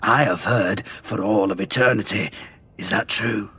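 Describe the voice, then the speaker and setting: An actor playing a menacing, fanatical alien warlord in an audio drama, with a gravelly, pompous, threatening delivery. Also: male voice, on the low side, unhurried, sharp tone, exaggerated voice. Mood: scornful. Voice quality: gravelly, rasping.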